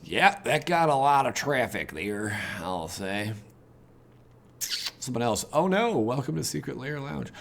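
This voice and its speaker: A man's voice: mid-range voice, sing-song, measured pace, creaky. An American man hosting a gaming channel, chatting casually and rambling informally as he reacts to what he reads, with mildly exasperated asides. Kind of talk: casual livestream chat, reacting to web pages.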